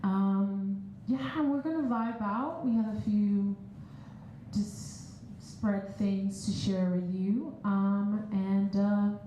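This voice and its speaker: Relaxed woman in an echoey hall. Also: medium pitch, very slow, a little hiss or hum. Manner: relaxed, rambling, many fillers. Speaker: performance host. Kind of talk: casual spoken introduction to a live audience. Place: large echoey event space.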